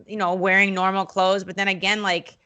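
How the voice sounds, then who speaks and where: A woman guest on a podcast, telling stories about her wrestling days in an animated, outspoken way, full of fillers and acted-out quotes. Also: medium pitch, fairly quick, clean tone. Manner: animated storytelling, acts out quotes in other voices; frequent fillers and restarts, blunt and outspoken. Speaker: podcast guest, former wrestling interviewer and manager. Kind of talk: casual podcast interview.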